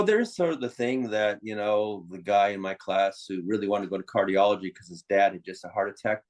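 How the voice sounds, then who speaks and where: An American man talking conversationally as an interview guest, explaining things in an opinionated way. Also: fairly low pitch. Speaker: interview guest, psychiatrist and author.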